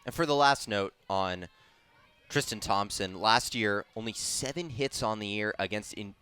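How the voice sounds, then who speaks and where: A man's voice: lowish voice, moderate pace, sharp tone, clean tone. A man giving color commentary, adding background notes in a conversational way.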